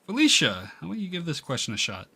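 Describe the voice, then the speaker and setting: A man's voice: a little high, wildly swooping pitch, fast, gravelly, sharp tone, breathy edge, pitched above the performer's own voice, full character voice. A man reading the game dialogue of an encouraging, mildly formal male history teacher. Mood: prompting. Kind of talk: reading visual novel dialogue aloud. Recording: music playing behind the voice.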